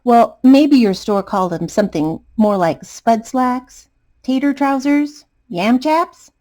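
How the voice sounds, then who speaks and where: A woman voicing an excitable, dramatic, panicky male potato who wails and exclaims, in a children's storybook reading. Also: a little high, takes it slowly, pitched above the performer's own voice. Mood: eager. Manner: wailing, exclaiming, melodramatic.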